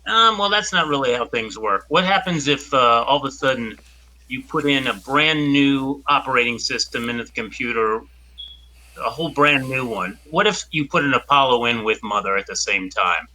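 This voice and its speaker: A man improvising in character in a tabletop role-playing game, explaining things in a hesitant, halting way. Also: medium pitch, bright, forceful tone, slight background noise, low-quality recording.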